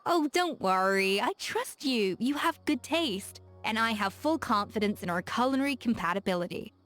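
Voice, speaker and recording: Self-assured, teasing chef. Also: female voice, on the high side, lively intonation, normal speed, a bit bright, faint music behind. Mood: confident. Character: confident, playful young woman chef, proud and curious. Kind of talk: game character voice lines.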